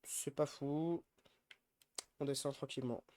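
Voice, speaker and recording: A man's voice: mid-range voice, fairly even intonation, a little slow. Man with a cold, thinking aloud. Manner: thinks aloud, counting and weighing choices. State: has a head cold. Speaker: streamer. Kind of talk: casual gameplay commentary. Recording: loud background noise.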